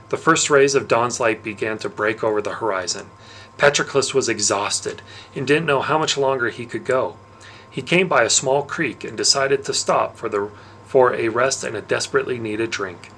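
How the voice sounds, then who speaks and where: A man narrating a novel aloud in an expressive storytelling style. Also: medium pitch, slight background noise, poor audio.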